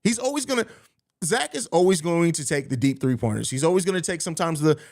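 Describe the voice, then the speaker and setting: Guy hosting a live sports stream, reads chat comments and riffs on them, kinda higher voice. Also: animated, fast talker, darkish tone. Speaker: sports podcast host.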